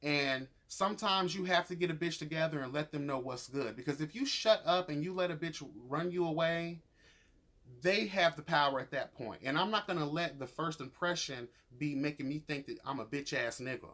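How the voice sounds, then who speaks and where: A man's voice: fairly high pitch, moderate pace, sharp tone. A man giving casual, profane YouTube review commentary in a sassy, emphatic, slangy way, addressing people as honey and girl. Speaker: YouTuber reviewing a reality show.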